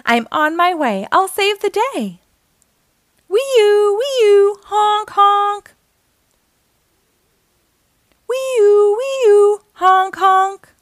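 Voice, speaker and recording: A woman voicing an eager, plucky little fire engine in a children's storybook, with excited singsong siren and horn calls. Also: very high-pitched, measured pace, few pauses, no room sound, studio quality, pitched above the performer's own voice, exaggerated voice. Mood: determined, excited. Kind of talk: children's storybook read-aloud.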